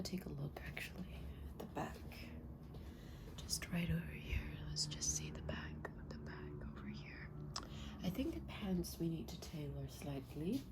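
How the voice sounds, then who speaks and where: A woman speaking softly and breathily in an ASMR stylist roleplay, often slipping into a whisper, with a gentle, polite, attentive manner. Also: sits low. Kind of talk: soft-spoken ASMR roleplay.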